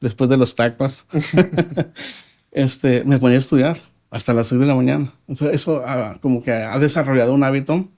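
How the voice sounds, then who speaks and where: Mellow-voiced Mexican man telling his story. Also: mid-range voice, fairly even intonation. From Mexico (Nuevo Laredo). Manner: reflective, halting storyteller with frequent pauses. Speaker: podcast guest. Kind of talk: personal testimony in a podcast interview.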